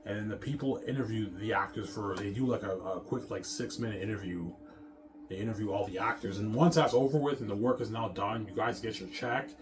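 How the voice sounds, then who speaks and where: A man's voice: fairly low pitch, fairly quick, crowd noise. A man in a casual, rambling monologue, boastful and repetitive as he circles back over his phrases. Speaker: self-styled film maker talking about his movie projects.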